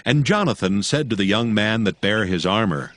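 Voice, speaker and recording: A man narrating a dramatized Bible in a solemn, measured, scripted style. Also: lowish voice, lively intonation, fairly bright tone, dry recording, clean studio sound. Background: music.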